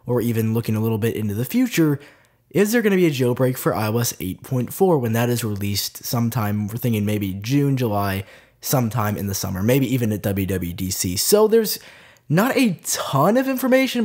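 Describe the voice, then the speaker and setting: A young man with a stuffy nose from a cold, hosting a casual tech news vlog in a chatty way with plenty of fillers. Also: medium pitch. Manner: chatty, lively, lots of "like" fillers. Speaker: tech video host.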